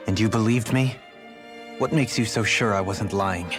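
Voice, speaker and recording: An actor playing a refined, reserved fantasy nobleman in a video game, soft-spoken and polite. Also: on the low side, moderate pace, music in the background, lightly acted. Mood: subdued, guarded. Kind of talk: video game cutscene acting.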